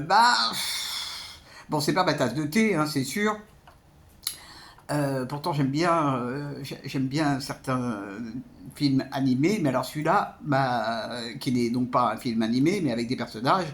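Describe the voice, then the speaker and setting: A man's voice: mid-range voice, measured pace. A man giving a casual spoken film review as a vlogger, hesitant and often repeating words.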